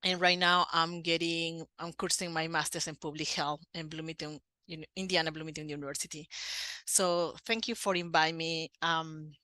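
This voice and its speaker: A man from Peru with a Peruvian Spanish accent, a Spanish native speaker giving an earnest webinar presentation over a video call as a program coordinator, his phrasing slightly halting. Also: a little high, flat delivery, pushed and bright, clean tone, no echo.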